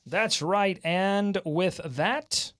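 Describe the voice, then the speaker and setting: A man hosting a sports podcast in a lively, upbeat, joking banter style. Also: high-pitched, extremely slow.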